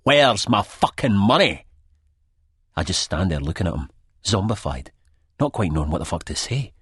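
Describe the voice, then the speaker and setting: Audiobook narrator, male, Scottish: low and heavily rasping, expressive range, colloquial first-person storytelling. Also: brisk, no echo, clean studio sound.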